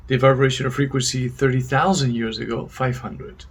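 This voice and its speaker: A man co-presenting, reading out a list of findings in a deliberate, clipped way, item by item. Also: mid-range voice, level tone, husky. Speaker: co-presenter reporting energy readings.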